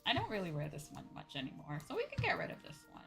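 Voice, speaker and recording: A woman's voice: on the low side, wildly swooping pitch, quick pace, vocal fry, a bit bright. A woman streaming a game, chatting informally and thinking aloud as she plays, often dropping in "you know" and "like". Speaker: streamer playing an online game. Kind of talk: casual livestream chat, thinking aloud while playing. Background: music.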